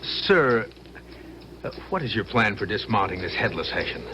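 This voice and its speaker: An earnest, doubting major who serves as a loyal aide in a vintage radio drama. His male voice is on the low side and formally deferential, with clear noise on the old broadcast. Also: big pitch swings, normal speed. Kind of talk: 1970s radio drama acting.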